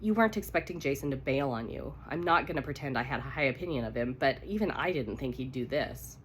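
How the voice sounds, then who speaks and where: A woman's voice: a bass voice, expressive, fast, a little hiss or hum. A woman with a cold voicing a loyal, reassuring male best friend in a romance novel. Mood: sympathetic. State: congested with a cold.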